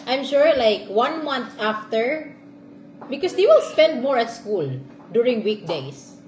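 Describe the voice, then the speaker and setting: A chatty young woman with a Filipino accent talks to the camera at home, her fairly high voice bouncing around an echoey room. She chats easily with her family for the vlog. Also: very animated, a little slow, a little mellow. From Philippines. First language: Bisaya. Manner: chatty, switches between English and Filipino. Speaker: vlogger.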